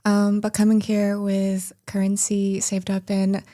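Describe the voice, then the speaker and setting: A woman's voice: mid-range voice, monotone, measured pace, mellow. A young woman in her twenties, a graduate student guest, talking casually and unhurriedly with many fillers. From United States. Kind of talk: casual chat.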